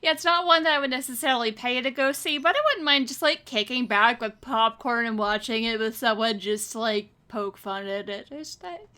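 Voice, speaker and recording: A woman with a fairly high, bright voice takes part in a podcast panel. She talks in a loose, casual, conversational style. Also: moderate pace, few pauses. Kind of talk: casual chat.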